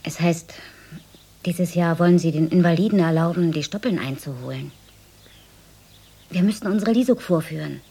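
Careworn village mother, low breathy voice, coaxing, intimate radio drama. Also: normal speed, pauses often, warm tone.

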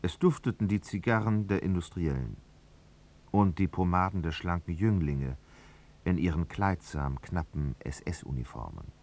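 A man's voice: sits low, expressive, measured pace, creaky, darkish tone, slight background noise, exaggerated voice. An actor as the male narrator of a satirical society novel, delivering literary prose for a radio drama. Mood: measured narration.

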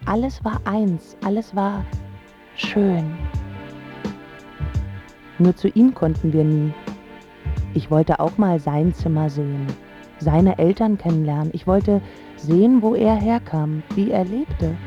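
Slow-speaking, lovestruck young woman. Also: on the low side, mellow. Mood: dreamy, smitten. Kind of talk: scripted first-person narration in a German radio drama. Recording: slightly dull sound with music underneath. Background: prominent music.

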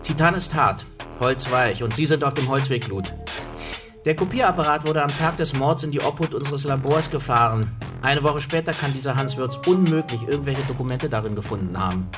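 An actor playing a sarcastic, bitter and jealous police detective in a radio drama, with a biting, wordy manner. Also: male voice, mid-range voice, expressive, brisk, natural voice. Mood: exasperated, scornful. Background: music.

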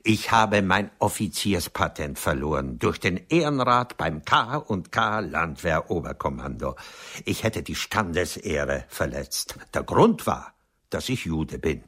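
An actor playing a proud, wounded Viennese doctor and writer in a radio play, speaking in deliberate, weighty phrases. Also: male voice, sits low, sing-song, fairly bright tone, husky, exaggerated voice. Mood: bitter, indignant. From Austria. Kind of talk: German radio play acting.

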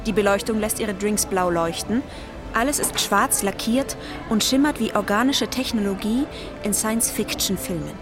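A woman narrates a radio drama in a mid-pitched voice. Her delivery is scripted storytelling, and music plays clearly behind her. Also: normal speed.

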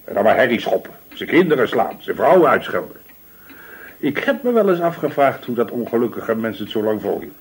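A callous landlord in a radio drama, with slightly husky tone and widely swinging pitch. He is disdainful and gossipy, always complaining and justifying himself, and slips into evasive hedging. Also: very animated, brisk, full character voice. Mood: scornful, gossipy. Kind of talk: Dutch radio play dialogue.

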